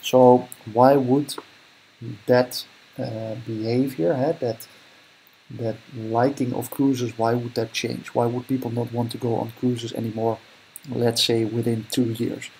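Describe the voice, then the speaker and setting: A man's voice: mid-range voice, flat delivery, unhurried, mellow, slight background noise, rough recording. A young Dutch man with a Dutch accent, thinking aloud and correcting himself as he talks through a screen recording. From Netherlands. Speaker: investing vlogger. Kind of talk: casual screen-recording commentary.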